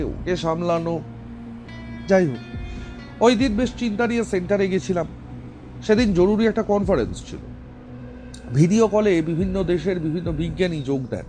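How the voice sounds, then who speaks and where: A man's voice: fairly high pitch, darkish tone, close to an everyday voice. An actor playing a worried middle-aged scientist and family man in an audio drama, recounting things earnestly and carefully.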